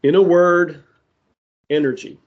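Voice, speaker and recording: A man preaching a sermon that ties prophecy to current events, speaking deliberately with side remarks, his voice giving out. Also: sits high, steady tone, lo-fi sound. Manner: slow, deliberate delivery with asides. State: losing his voice.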